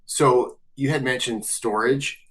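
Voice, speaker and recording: A man's voice: medium pitch, fairly even intonation, slow pace, fairly bright tone. A man hosting a webinar panel, asking guests questions in loose, casual phrasing. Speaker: webinar host. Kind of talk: interview questions.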